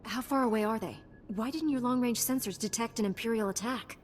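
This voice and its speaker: A woman's voice: fairly quick, close to an everyday voice. Woman as composed Jedi knight, echoing hall. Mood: composed, blunt. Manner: calm, matter-of-fact, assured. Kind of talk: scripted video game cutscene acting. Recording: long reverberant hall, faint background rumble.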